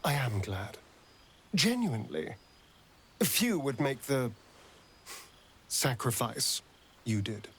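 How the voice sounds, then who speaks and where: A man's voice: medium pitch, sing-song, slow pace, pauses often, a bit bright, a little hiss or hum. A man playing a flamboyant, sardonic vampire rogue in a video game, with an arch, drawling delivery and a tender streak. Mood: sincere. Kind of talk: theatrical video game character acting.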